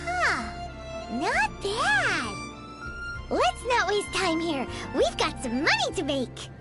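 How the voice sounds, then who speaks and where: A woman voicing a small, excitable, naive childlike fairy companion in a video game, with a squeaky voice and cute exaggerated delivery. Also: takes it slowly, heavily acted. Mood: playful. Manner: speaks of self in third person, exaggerated cute delivery. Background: music.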